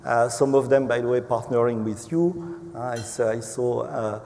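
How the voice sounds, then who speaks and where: A man from France with a French accent speaking as an executive on a panel, halting and hesitant, often repeating words. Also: mid-range voice, darkish tone. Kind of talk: unscripted panel remarks. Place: large event hall. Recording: echoey hall with clear background noise.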